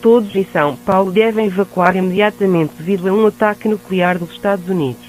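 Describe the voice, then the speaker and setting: A man's voice: sits high, steady tone, fast, a little hiss or hum, low-quality recording. A man reading a scripted emergency announcement in a formal, synthetic-sounding way.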